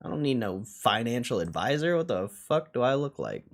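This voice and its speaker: A man's voice: mid-range voice, sing-song, moderate pace. A man livestreaming casual stock commentary, thinking aloud in a blunt, slangy, offhand way with plenty of swearing. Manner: foul-mouthed, blunt.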